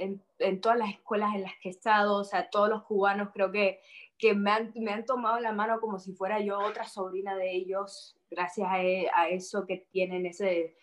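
A young woman from Spain, a guest in an interview, who talks casually and hesitates, repeating words as she thinks. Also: mid-range voice.

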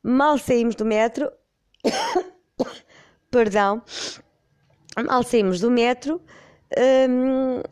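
A woman's voice: on the high side, sing-song, very slow, long pauses, a little mellow, dry recording, studio quality. A middle-aged woman with a European Portuguese accent, congested with a cold, telling a personal story in a rambling, amused way on her podcast. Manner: rambling, self-correcting, amused. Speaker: podcast host.

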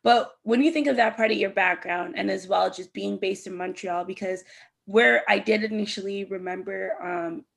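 Creaky-voiced woman hosting a casual interview. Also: mid-range voice, normal speed, fairly bright tone. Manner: hype, slangy, encouraging. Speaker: interview host.

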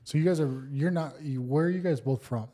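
A man in a casual group chat, teasing the others with deadpan quips. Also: fairly high pitch, brisk. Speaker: podcast co-host.

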